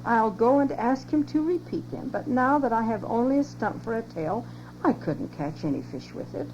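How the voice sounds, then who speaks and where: A woman's voice: fairly high pitch, animated, moderate pace, pitched above the performer's own voice. An older woman reading aloud, voicing a hungry, trusting young male bear in a storybook, on a lo-fi home cassette tape with hum. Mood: plaintive.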